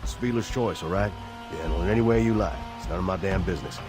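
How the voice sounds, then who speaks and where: A man's voice: deep voice, fast, music in the background, close to an everyday voice. A man playing a blunt, cynical militia commander in a video game, casual and sardonic in manner. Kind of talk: video game cutscene acting.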